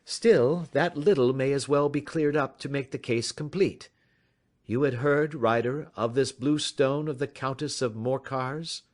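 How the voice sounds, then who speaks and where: A man voicing a sharp, self-assured gentleman detective in an audiobook, speaking in a crisp, measured, gently ironic way. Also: medium pitch, pitched above the performer's own voice, close to an everyday voice. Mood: confident. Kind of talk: audiobook reading.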